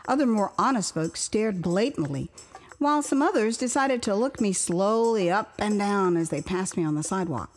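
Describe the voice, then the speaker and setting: An older American woman narrates her own story in an expressive, theatrical first-person style with amused asides. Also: mid-range voice. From Houston, Texas, United States. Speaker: author reading her own memoir.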